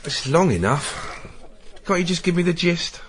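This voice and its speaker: A man acts a blunt, teasing Roman friend in a radio drama. His voice sits somewhat high and moves expressively as he questions sceptically, over faint background ambience. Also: animated, moderate pace, noisy background, lightly acted. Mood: probing, dry. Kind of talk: naturalistic radio drama acting.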